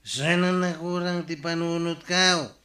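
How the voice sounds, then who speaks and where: A man's voice: on the high side, fairly even intonation, unhurried, bright, forceful tone, roomy sound. A man playing a foolish, stubborn villager in an Ilocano radio comedy drama, plaintive and argumentative.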